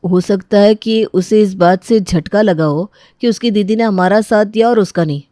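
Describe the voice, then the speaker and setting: A woman acting an earnest, concerned young husband in a family audio drama, gentle and reasoning. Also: mid-range voice, moderate pace, no echo, clean studio sound, natural voice. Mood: earnest, worried. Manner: earnest, reassuring.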